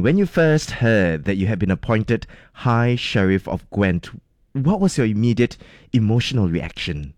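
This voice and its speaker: A man from Singapore hosting an interview, chatty and lively in his questions. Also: fairly low pitch, dry recording, studio quality. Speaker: host. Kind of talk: radio interview.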